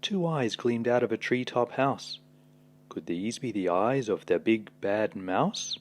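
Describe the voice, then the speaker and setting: A man reading a children's picture book aloud as a storyteller, dramatic and expressive. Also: fairly low pitch, unhurried.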